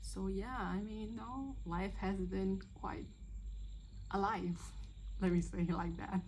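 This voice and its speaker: A woman's voice: medium pitch, steady tone, takes it slowly, a little mellow, a little hiss or hum. A woman in her twenties, from Myanmar, chatting casually and a little hesitantly through a first-video Q&A, with many fillers. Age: 20s. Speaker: vlogger.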